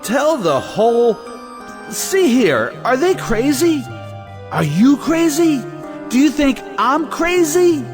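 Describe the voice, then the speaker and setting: An actor playing a hot-headed, conventional brother in an audio play, blustering and exclamatory. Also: male voice, mid-range voice, expressive, a little slow, close to an everyday voice. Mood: outraged. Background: music.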